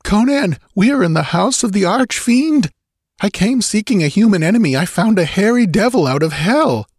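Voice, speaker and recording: Guy doing a scared young noble in a fantasy audiobook, high voice, hushed and urgent, very formal wording. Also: lively intonation, normal speed, breathy edge, no echo, studio quality. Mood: urgent, fearful. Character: frightened young nobleman, breathless, horror-struck. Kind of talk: dramatized audiobook fantasy adventure.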